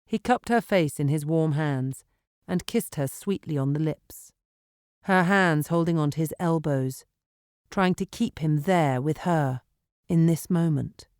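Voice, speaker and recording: A woman audiobook narrator reading dramatic fiction in an expressive, measured storytelling manner in a studio. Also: low voice, no room sound. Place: dry recording studio.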